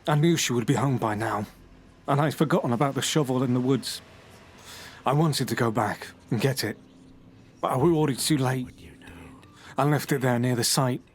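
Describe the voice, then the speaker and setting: An actor playing a troubled, unwell man who confesses to the police in a halting, hushed voice with nervous laughs. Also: medium pitch, a little hiss or hum, natural voice. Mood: haunted. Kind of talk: audio horror drama.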